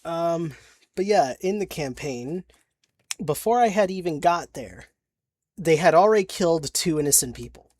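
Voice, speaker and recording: A young woman chatting casually about her tabletop game character, halting with many restarts and little giggles. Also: lowish voice, expressive, a little mellow. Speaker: player recounting a tabletop campaign. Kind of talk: casual rambling chat.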